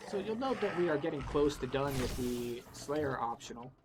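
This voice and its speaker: A man's voice: medium pitch, animated, moderate pace, noisy background. A man giving casual, wry, explanatory commentary as he guides a video game playthrough. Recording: game music behind the voice. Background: video game music.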